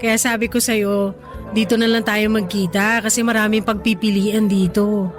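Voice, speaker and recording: A woman's voice: medium pitch, level tone, moderate pace, natural voice. An actress playing a playful, stubborn young woman in a radio drama, teasing and argumentative in a conversational way, with a Filipino accent. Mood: chatty. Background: music bed.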